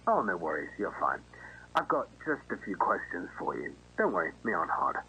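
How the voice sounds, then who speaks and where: A man's voice: deep voice, sing-song, a little fast, bright, forceful tone, exaggerated voice, low-quality recording. A man playing a friendly, casual restaurant manager reassuring a job applicant in an acted phone conversation. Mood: friendly, businesslike. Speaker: voice actor in an analog horror video. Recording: faint noise and hum.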